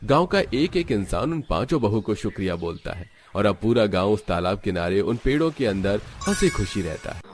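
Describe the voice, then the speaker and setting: A man's voice: lowish voice, sing-song, moderate pace, few pauses, soft background music. A man giving scripted narration for a cartoon village story.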